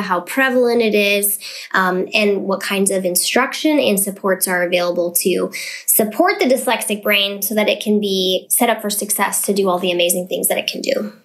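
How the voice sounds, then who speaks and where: A woman's voice: medium pitch, a bit bright. A woman talking as a podcast guest, thoughtful and earnest as she explains her views. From United States. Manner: thoughtful, earnest, with fillers and restarts. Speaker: guest, literacy business founder. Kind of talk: casual podcast interview.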